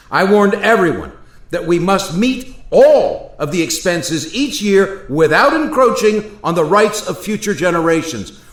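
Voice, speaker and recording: A man's voice: high-pitched, fairly bright tone. A man acting an indignant Thomas Jefferson in a radio play, speaking in an emphatic, formal, oratorical way. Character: indignant Thomas Jefferson, aghast at modern America. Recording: roomy, echoey voice recording.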